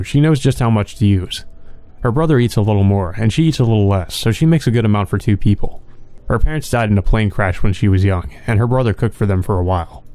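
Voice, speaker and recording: A man reading visual novel narration aloud for a let's play, in a plain, measured storytelling manner. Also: fairly low pitch, warm tone, dry recording.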